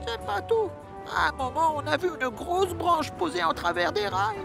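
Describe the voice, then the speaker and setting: Creaky, high-pitched excitable cartoon brake van. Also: normal speed, heavily acted. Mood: excited storytelling. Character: earnest, excitable male brake van telling adventures. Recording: music behind the voice.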